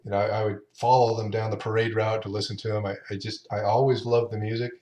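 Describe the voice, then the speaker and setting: A man in his late fifties, the guest on a podcast, speaks in a lowish voice. He talks in an unhurried, halting way, often restarting his sentences, and the room around him rings with a noticeable echo. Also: level tone, a little mellow. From Utah. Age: 50s. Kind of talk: casual interview chat. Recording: roomy echo.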